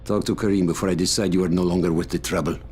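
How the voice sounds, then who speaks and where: A man's voice: low-pitched, steady tone, background noise, lightly acted. A man playing a sneering, grandiose warlord in a video game cutscene, speaking with theatrical contempt. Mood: cold contempt. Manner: theatrical, contemptuous, drawn-out philosophizing.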